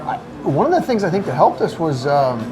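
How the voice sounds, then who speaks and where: A man's voice: a little high, very animated, fairly quick. A man speaking as a college soccer coach, answering casually in a live sports interview. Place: busy restaurant eatery. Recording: crowd chatter behind the voice. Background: crowd chatter.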